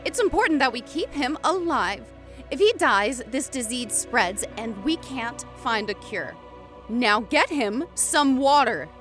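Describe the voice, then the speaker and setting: High-voiced, worried, assertive fiancée. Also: female voice, animated, measured pace, a bit bright, soft background music. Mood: insistent. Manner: earnest, urgent. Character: worried, assertive female fiancée of a quarantined man. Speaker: voice actor. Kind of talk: survival horror audio drama acting.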